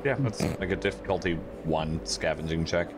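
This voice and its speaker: A man's voice: low voice, moderate pace, music in the background. A man running a tabletop game as game master, explaining rules and reading tells with amused ease.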